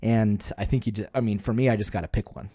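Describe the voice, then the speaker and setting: Male podcast co-host, low and relaxed, conversational explainer in casual chat. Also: fast talker, breathy edge, no echo, clean studio sound.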